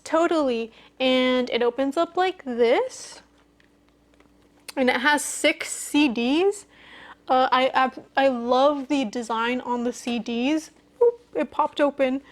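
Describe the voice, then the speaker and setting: A woman presenting a collection in a casual show-and-tell style, with enthusiastic, informal phrasing and youthful slang. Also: sits high. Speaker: music collecting video host.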